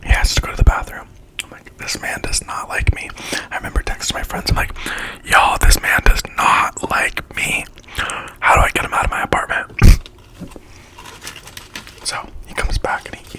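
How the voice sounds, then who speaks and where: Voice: moderate pace, pauses often, low-quality recording. A speaker whispering a slangy, chatty storytime in ASMR style while eating, acting out quoted lines along the way.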